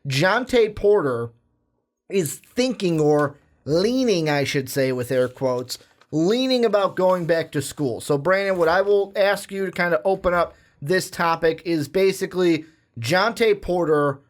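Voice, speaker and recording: A man co-hosts a sports podcast with energy, reading out rankings and plugging the show. His voice sits a bit high for a man. He talks in a loose, casual way. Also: unhurried. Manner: energetic, plugs and runs the segment. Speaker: podcast co-host. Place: podcast studio.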